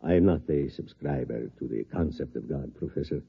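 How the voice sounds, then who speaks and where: A dull, lo-fi old radio recording carries an assured scientist. His voice is deep and husky. He speaks with formal, deliberate precision and quiet intensity, in the style of 1950s radio drama. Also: full character voice. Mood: earnest, persuasive. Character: assured, intense male doctor, a visionary scientist.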